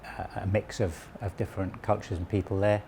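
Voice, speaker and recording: Easygoing low-voiced man from Wales, around 60. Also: level tone, a little fast, gravelly, dark tone, slight background noise. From southwest Wales. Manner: easygoing, explains at length with small restarts. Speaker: history website founder, interview guest. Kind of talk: relaxed conversational explanation.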